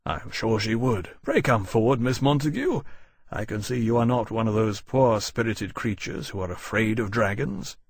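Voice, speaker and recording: A man voicing a proud, formal and courteous gentleman in a storybook narration. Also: lowish voice, lively intonation, moderate pace, breathy edge, no echo, clean studio sound. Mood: smoothly malicious.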